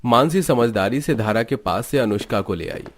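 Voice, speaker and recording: A man narrating a cartoon family story in a plain, even storytelling voice. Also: mid-range voice, moderate pace.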